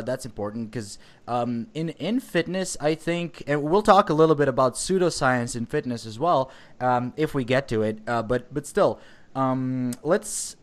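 A man hosting a casual podcast interview over an online call, speaking in a hesitant, halting way. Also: fairly high pitch. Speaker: podcast host.

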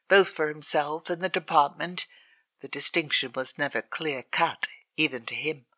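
A woman reading a written obituary aloud in a measured, even way. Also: on the low side. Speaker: reader.

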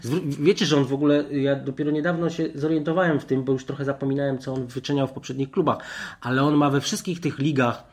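A man discusses football as a podcast panellist. His voice sits at a middling pitch. He talks in a digressive, halting way, often restarting and rephrasing his point. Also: fairly even intonation. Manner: halting, rambling, digressive with self-corrections. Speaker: sports journalist and podcast panellist.

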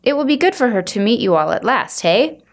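A woman voicing a caring father with a gentle, reassuring manner in a children's storybook. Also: fairly high pitch, very animated, brisk, voice pushed up. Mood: polite. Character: caring, apologetic father of a young girl.

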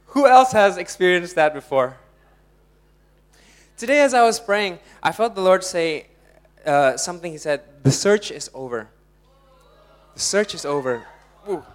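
A young man from Indonesia, preaching a lively, jokey sermon to a congregation and laughing often. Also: sits high, measured pace, long pauses.

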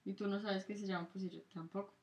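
A woman with a Colombian Spanish accent, co-hosting a casual interview and explaining things in a relaxed way with everyday examples. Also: medium pitch, fast talker, muffled recording. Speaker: podcast co-host.

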